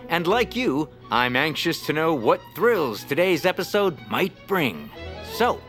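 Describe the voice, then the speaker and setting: A man's voice: on the high side, sing-song, a little slow, over music. An actor playing a pompous, old-fashioned male radio host who is exuberant and easily flustered, in a florid announcer style for a comedy audio drama. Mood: grandly cheerful. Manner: theatrical, florid announcer delivery. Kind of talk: audio fiction comedy acting.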